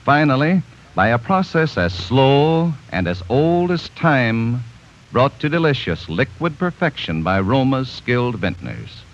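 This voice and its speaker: A man's voice: big pitch swings, unhurried. A man reading a sponsor announcement on a 1940s radio program. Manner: smooth, lilting pitch, emphatic. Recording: lo-fi old radio broadcast.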